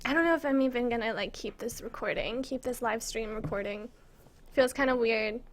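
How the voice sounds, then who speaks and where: A woman's voice: on the high side, brisk, a little hiss or hum. An American woman chatting casually to viewers on a live stream, rambling in a self-conscious, giggly way. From United States. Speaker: live streamer and game map maker. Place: indoors at a computer in an apartment.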